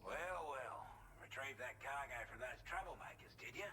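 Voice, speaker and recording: A man's voice: mid-range voice, lively intonation, moderate pace, sharp tone, slight background noise. An actor playing a folksy, grateful man who hands out delivery orders in a video game, with a radio effect. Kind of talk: scripted video game dialogue.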